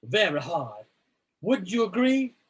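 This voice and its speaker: A man voicing a scheming older woman in a comedic dramatic reading, with a smooth, coaxing, theatrical manner. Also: sits high, sing-song, slow pace, exaggerated voice. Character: scheming older woman recruiting young women.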